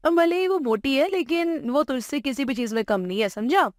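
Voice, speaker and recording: A woman's voice: high-pitched, expressive, normal speed, no room sound, clean studio sound, pitched above the performer's own voice. Woman playing a firm, outspoken middle-aged mother. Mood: stern scolding.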